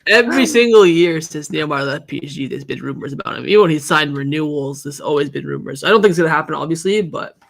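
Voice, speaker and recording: A man's voice: fairly high pitch, lively intonation, fast talker, creaky, few pauses, a bit bright. A man chatting casually and offhandedly about football as a guest creator on a fan show.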